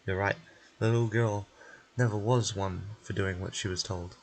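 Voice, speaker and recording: A man voicing a calm, gentle caretaker telling bedtime stories, reassuring and measured. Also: on the low side, pitched below the performer's own voice, natural voice, poor audio.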